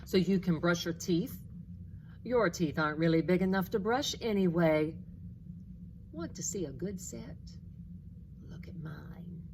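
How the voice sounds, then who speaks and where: Haughty storybook tomcat, female reader: lowish, slow, sharp-tongued, mocking and mean-spirited. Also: slight background noise. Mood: scornful, bragging. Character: haughty, sneering male house cat, mean-spirited. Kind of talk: children's storybook character voice.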